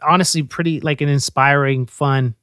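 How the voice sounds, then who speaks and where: A man from the Bay Area hosts a podcast with casual, excited chatter. His voice is high for a man and full of slang, and he often repeats words while he talks. The sound is dry and close. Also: normal speed, clear, resonant tone, no echo. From San Francisco Bay Area. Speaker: podcast host. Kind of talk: casual interview chat.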